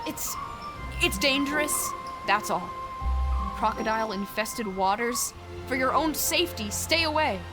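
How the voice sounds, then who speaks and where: A woman voicing a breezy, boyish young leader in a fantasy audio drama. The voice sits fairly high, playful and bossy, with music playing behind it. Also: animated, measured pace. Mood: guarded. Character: cocky, playful flying boy, leader of lost children. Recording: music under the voice.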